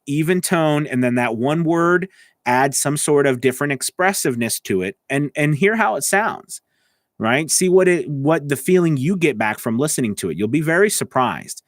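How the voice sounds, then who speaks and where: A man's voice: fairly high pitch, no room sound, studio quality. A man hosting a casual live tutorial as a coach, explaining conversationally and checking in with the listener as he goes. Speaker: voice-over coach and show host.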